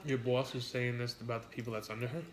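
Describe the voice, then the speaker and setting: A man's voice: mid-range voice, flat delivery, quick pace, noisy background. A man chatting casually and bluntly over food, with some swearing. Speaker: mukbang co-host. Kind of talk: casual chat while eating. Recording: echoey room with busy background chatter.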